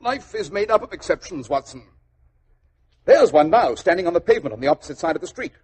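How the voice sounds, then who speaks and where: An actor playing a self-assured, philosophical detective with a precise, wry manner, in 1940s radio drama style. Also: male voice, sits high, sing-song, fast talker, darkish tone, slightly rough, full character voice. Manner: incisive, precise, pedantic. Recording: old 1940s radio recording.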